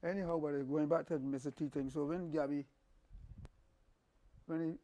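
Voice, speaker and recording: A man's voice: fairly high pitch, normal speed. An older man, a calypso singer telling rambling stories in an interview. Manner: rambling, animated storytelling with repeated restarts. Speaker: calypsonian guest. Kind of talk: casual interview storytelling.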